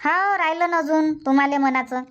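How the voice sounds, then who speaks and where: Woman acting a village housewife, high voice, rural Vidarbha Marathi accent, chatty, nagging, emphatic, cartoon comedy. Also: normal speed, a bit bright, highs cut off. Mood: playful, declaiming. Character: bickering but loving Indian village wife and mother-in-law. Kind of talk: cartoon comedy dub dialogue.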